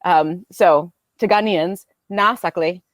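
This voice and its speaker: A woman's voice: fairly low pitch, takes it slowly, dry recording. A young American woman talking casually as a podcast guest, chatty with lots of fillers. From United States. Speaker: guest business owner.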